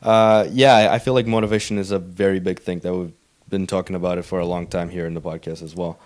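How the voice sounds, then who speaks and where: Man, lowish pitch, podcast host, casual interviewing, agreeable, conversational. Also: fairly even intonation, fast talker, a little mellow. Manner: agreeable, frequent backchannels.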